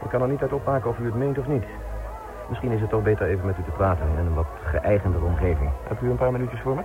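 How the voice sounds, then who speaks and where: Man as a haughty gentleman, briskly spoken. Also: lowish voice, phone-quality sound, music in the background, close to an everyday voice. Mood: guarded, cool. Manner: formal, condescending, controlled. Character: haughty, powerful village gentleman, coolly courteous. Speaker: actor in a Dutch radio play. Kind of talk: radio drama acting.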